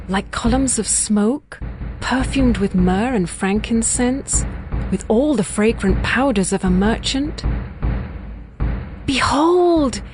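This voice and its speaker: An actress playing a young, ardent bride in a dramatized scripture reading, speaking in a measured, lyrical and earnest way. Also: female voice, high voice. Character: young bride longing for her beloved, ardent and poetic.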